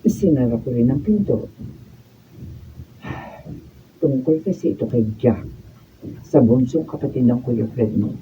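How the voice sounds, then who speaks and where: Woman voicing a protective big-sister wife, lively expressive pitch, tinny and far from the mic. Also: measured pace, pauses often, slight background noise. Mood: earnest. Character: caring older-sister wife, warm and protective. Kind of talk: radio drama acting. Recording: distant, phone-like room recording.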